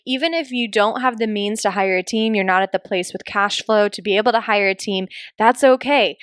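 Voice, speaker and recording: A woman's voice: a little high, a bit bright, dry recording, clean studio sound. A woman hosting a solo podcast in a casual, chatty and emphatic way, speaking informally as a business coach.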